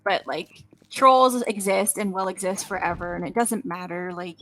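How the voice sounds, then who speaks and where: A young adult woman chatting casually as an interview guest, informal and halting, peppering her speech with filler "like". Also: medium pitch, pushed and bright, faint music behind, poor audio. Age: millennial, young adult. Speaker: interview guest, voice actress and gamer. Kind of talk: casual chat.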